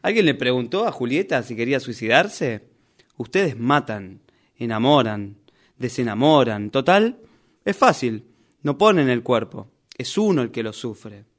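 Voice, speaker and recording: A man acting a defiant character from a novel in a radio play, with an Argentine Rioplatense accent. He is argumentative and reproachful, and his mid-pitched voice swings animatedly as he presses his case. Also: expressive, unhurried, close to an everyday voice. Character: indignant, defiant male novel character confronting his author.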